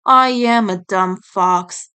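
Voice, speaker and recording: A woman voicing a grieving male fox in an audio story, downcast and halting, quick to get annoyed. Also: mid-range voice, bright, forceful tone, clear, resonant tone, voice pushed down. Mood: grieving, self-blaming.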